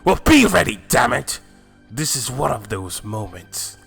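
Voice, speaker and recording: A man's voice: mid-range voice, big pitch swings, a little slow, gravelly, music in the background, exaggerated voice. A man playing a stern, hot-tempered Saiyan warrior mentor in an anime fan drama, harsh and commanding. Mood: angry. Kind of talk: fan-made anime roleplay voice acting.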